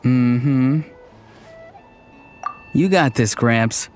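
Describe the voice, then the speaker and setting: A playful young tease in a video game. His mid-pitched delivery is deadpan and mock-grand, mischief kept under a serious front, with soft music behind. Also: extremely slow. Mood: teasing. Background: faint game background music.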